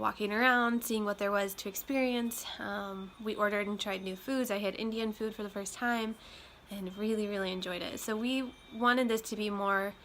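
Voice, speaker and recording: A young woman talks casually at home, her voice a little nasal from a lingering chest cold. She rambles with frequent ums, unhurried and conversational. Faint road traffic and wind chimes drift in through open windows. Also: medium pitch, a bit bright. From Iowa. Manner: chatty, many ums, loose and rambling. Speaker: vlogger. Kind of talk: casual talk to camera.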